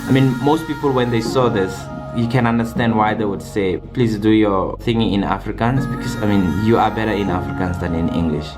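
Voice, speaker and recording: A man's voice: on the low side, fairly even intonation, a little fast, hardly any pauses. A young man from South Africa chatting casually in a personal vlog, often repeating words as he goes. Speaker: vlogger. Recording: echoey room with music behind.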